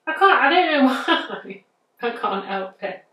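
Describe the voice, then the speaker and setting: Woman, 30s, northern English accent, nail tutorial host, chatty and easygoing, slow pace, distant mic. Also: sits high, expressive, pushed and bright, roomy sound. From England. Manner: chatty, easygoing, thinking aloud. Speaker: nail artist demonstrating a design. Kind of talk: casual step-by-step tutorial talk. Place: bright indoor studio.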